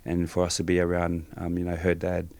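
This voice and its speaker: A Samoan-born man, a Samoan speaker, answering interview questions softly and hesitantly with many fillers. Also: deep voice, monotone, brisk. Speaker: guest.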